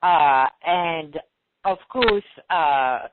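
A woman's voice: low-pitched, extremely slow, heavy background noise. A French woman in her forties with a French accent, chatting casually as a guest and peppering her talk with fillers. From France. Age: 40s. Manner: animated, many fillers like "you know". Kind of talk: casual chat. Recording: tinny phone-like line.

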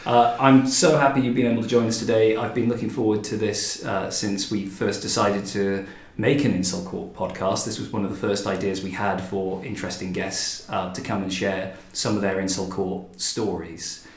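A man's voice: fairly low pitch, little pitch movement, moderate pace. A man hosting a podcast, chatting and interviewing in a conversational style. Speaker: podcast host and director. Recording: roomy echo, faint music.

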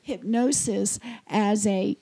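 Hoarse raspy-voiced woman podcast host. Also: mid-range voice, steady tone, slow pace, dark tone. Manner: chatty, laughs often, many fillers. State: hoarse from a cold. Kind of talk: casual podcast chat.

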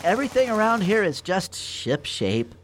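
A woman's voice: medium pitch, sing-song, moderate pace, heavy background noise, lightly acted. A woman playing a panicky, guilt-ridden rabbot factory worker in a comic radio play, blurting and bad at lying. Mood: nervous cover-up.

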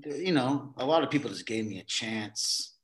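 A man being interviewed about his theater career, talking in a halting way with many restarts and repeated words. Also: medium pitch, lively intonation, a bit bright. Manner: acts out quoted exchanges in a livelier voice. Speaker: theater director, podcast guest. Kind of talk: casual interview conversation.

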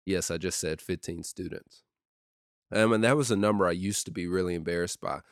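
Young adult youth pastor, very low deep voice, dry close sound, relaxed podcast chatter. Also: male voice, fairly quick, smooth, no echo. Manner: steady and conversational with many ums and uhs. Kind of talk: casual solo podcast talk.

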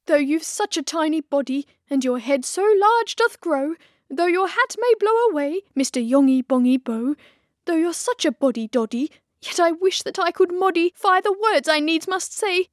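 Woman acting a high-voiced sorrowful storybook lady. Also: lively intonation, moderate pace, dry recording, studio quality. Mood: gentle refusal. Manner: declaiming in verse, plaintive. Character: sad, regretful married lady in a nonsense poem.

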